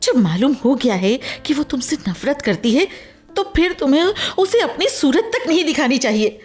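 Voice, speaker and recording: An actress playing a nosy, scolding young sister in a radio play, expressive and chiding. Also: female voice, high-pitched, moderate pace, faint music behind. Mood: reproachful. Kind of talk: Hindi radio play acting.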